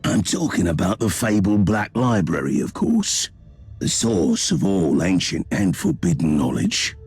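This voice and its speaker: A man's voice: low voice, sing-song, a little slow, darkish tone, breathy edge, no room sound, exaggerated voice. An actor playing a sly, scheming male inquisitor in a video game, with an urbane, wry, theatrical delivery. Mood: wry, persuasive. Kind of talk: dramatic video game cutscene acting. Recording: music behind the voice.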